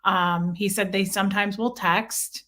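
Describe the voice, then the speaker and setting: A woman's voice: medium pitch, fairly even intonation, a little slow, pushed and bright. A woman speaking as an interview guest, telling personal stories casually and earnestly, often acting out both sides of conversations.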